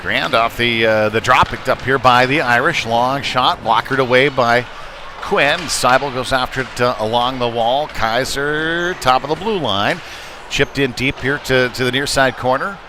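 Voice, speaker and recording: A man giving live ice hockey play-by-play in short clipped calls with hesitant pauses. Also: medium pitch. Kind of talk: live sports commentary. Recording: clear background noise, live rink ambience. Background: ambient sports venue noise.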